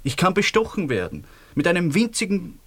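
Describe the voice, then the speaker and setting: A man's voice: sits high, wildly swooping pitch, a little fast, fairly bright tone, breathy edge, full character voice. An actor playing a weary, wry man in love after the war in a radio play, with an Austrian accent. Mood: frustrated, confiding.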